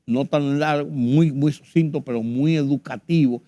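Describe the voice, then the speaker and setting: A Dominican man hosting a show, introducing a video in a measured, explanatory way. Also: a little high, warm tone, no echo. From Dominican Republic. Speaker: show host.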